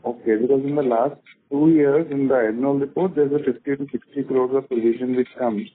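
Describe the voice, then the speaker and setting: A man's voice: mid-range voice, fairly even intonation, a little fast, breathy edge. A man calling in as an analyst to ask questions on an earnings call. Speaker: analyst caller. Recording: narrow tinny phone line.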